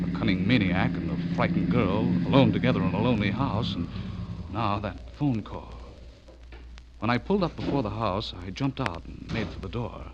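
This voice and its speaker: A man's voice: lowish voice, close to an everyday voice. An actor playing an anxious young newspaperman who narrates a horror tale in a dramatic, foreboding 1940s radio style. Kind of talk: 1940s radio acting, first-person narration. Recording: muffled vintage radio recording.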